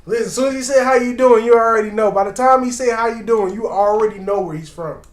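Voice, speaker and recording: A man hosting a reaction video, talking in a casual, animated, slangy way and repeating himself for emphasis. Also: high-pitched, fast, reverberant.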